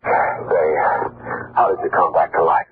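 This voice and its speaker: An actor playing a blustering, flustered, gruff police commissioner in 1940s radio style, on a very noisy old recording. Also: male voice, extremely low pitch, fairly even intonation, a little slow, phone-quality sound. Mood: indignant.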